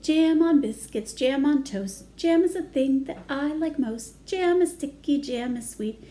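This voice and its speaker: A woman's voice: high voice, measured pace, dark tone. A woman voicing a fussy, singsong young girl in a read-aloud storybook. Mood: playful singsong.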